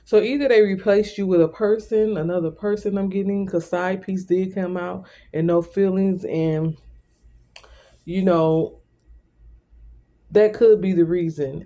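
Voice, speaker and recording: A man with a fairly high voice and a soft, dark-edged, mellow tone gives an informal tarot reading. He speaks straight to the listener, conversationally, often circling back over the same point. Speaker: tarot reader.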